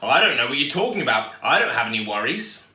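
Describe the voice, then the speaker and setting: A man's voice: medium pitch, very animated, fast, bright, forceful tone. A man voicing a preoccupied, dismissive storybook brother, curt and impatient. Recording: echoey room, distant microphone.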